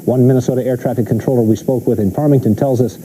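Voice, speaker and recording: A man's voice: mid-range voice, fairly even intonation, rapid-fire, warm tone, husky, rough recording. An American man anchoring a TV newscast with scripted delivery. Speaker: news anchor.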